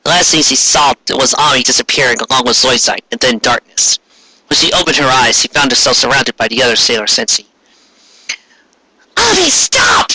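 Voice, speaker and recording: A man's voice: mid-range voice, fast talker, pushed and bright, breathy edge, no room sound, low-quality recording. A man giving a dramatic comic reading of fan fiction, theatrical and stumbling over clumsy wording.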